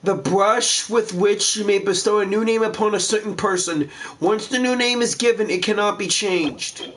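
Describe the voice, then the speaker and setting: A man's voice: high-pitched, moderate pace, fairly bright tone, a little hiss or hum. A man hosting a video game walkthrough, reading game text aloud and commenting casually. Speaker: gameplay walkthrough host.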